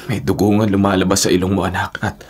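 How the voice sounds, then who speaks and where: A man's voice: sits low, flat delivery, quick pace, slightly rough. An actor playing a worried, humble working-class father who pleads, in a Philippine radio drama.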